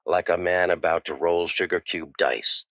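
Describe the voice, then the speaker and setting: An actor playing a cynical, wisecracking waterfront private eye, narrating old-time radio noir in a deadpan, world-weary manner. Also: male voice, sits low, normal speed, no echo, muffled recording. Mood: wry, brooding. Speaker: narrator and lead detective.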